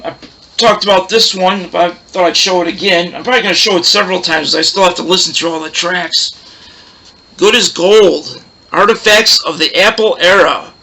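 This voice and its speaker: Loud, gravelly, bright-voiced man. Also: high voice, low-quality recording. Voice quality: loud, roaring voice. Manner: rambling, chatty, loses his train of thought. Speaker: host of a record collection show. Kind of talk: casual show-and-tell chat.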